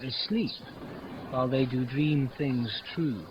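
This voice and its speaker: An actor playing a lovestruck, melancholy young gentleman, speaking verse earnestly in a 1950s radio Shakespeare style. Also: medium pitch, takes it slowly, close to an everyday voice. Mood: gloomy, restless. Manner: earnest, declamatory verse delivery. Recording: muffled, noisy old radio broadcast.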